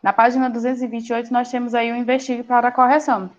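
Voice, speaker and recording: A woman teaching a science class online, giving firm, brisk directions with affectionate nicknames over a video call. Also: fairly high pitch, little pitch movement. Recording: dull lo-fi call audio.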